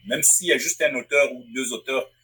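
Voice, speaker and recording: A man's voice: mid-range voice, fairly quick, sharp tone, a little hiss or hum, low-quality recording. A man from Africa, a publisher and writer, answering interview questions in a thoughtful way, often restarting phrases as he searches for words. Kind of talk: interview guest. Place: Northwest Territories.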